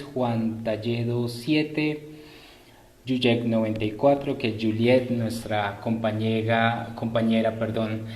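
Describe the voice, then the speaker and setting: Male live-stream host, unhurried and polite, improvising his announcements, recorded in a roomy, echoing space. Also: medium pitch, darkish tone. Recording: roomy echo.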